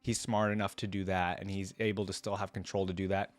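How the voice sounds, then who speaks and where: A man's voice: low-pitched, little pitch movement, a little fast, dry recording. A man hosting a reaction video, reading a story aloud and adding casual asides.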